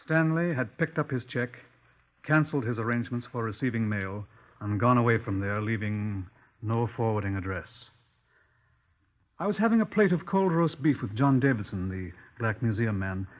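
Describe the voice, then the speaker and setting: A man playing a measured, persistent Scotland Yard inspector who narrates a 1950s radio crime drama. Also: mid-range voice, lightly acted. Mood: measured narration. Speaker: narrator and lead actor. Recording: dull 1950s radio recording.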